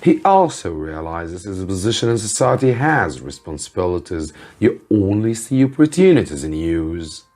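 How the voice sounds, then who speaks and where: A man's voice: deep voice, big pitch swings, normal speed, few pauses, a little mellow. A man voicing an eager, approving sister-in-law in a storybook reading, gushing and emphatic. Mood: earnest, persuading. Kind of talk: audiobook-style storybook reading.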